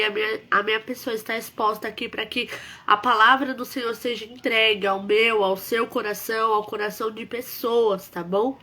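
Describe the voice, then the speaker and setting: A woman's voice: fairly high pitch, pushed and bright. A woman hosting a live worship stream, speaking earnestly and repeating herself for emphasis while congested with a cold. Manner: earnest, repetitive, emphatic exhortation. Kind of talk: devotional live-stream talk.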